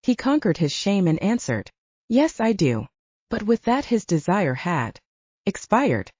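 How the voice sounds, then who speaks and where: A woman's voice: medium pitch, big pitch swings, a little slow, a little mellow, husky, dry recording, lo-fi sound. A woman reading literary fiction as a scripted audiobook narration, moving between story and dialogue.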